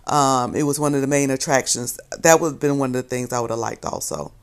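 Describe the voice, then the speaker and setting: Hoarse woman chatting casually. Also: rumbling low, fairly quick. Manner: rambling, self-correcting. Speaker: vlogger. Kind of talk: casual chat to camera.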